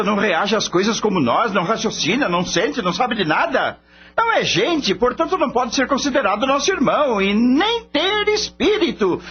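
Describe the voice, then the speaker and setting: Guy acting skeptical stubborn man in a radio drama, really husky, very animated delivery, muffled sound w/ music. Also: high-pitched, full character voice. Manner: emphatic, mocking. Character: skeptical, scoffing male veterinarian, rational and stubborn.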